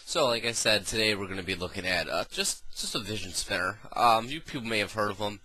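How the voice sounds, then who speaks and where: A man's voice: on the low side, lively intonation, brisk, music in the background. A man reviewing a gadget in a casual, rambling way, full of ums and uhs, sounding nasal from a cold. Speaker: product reviewer.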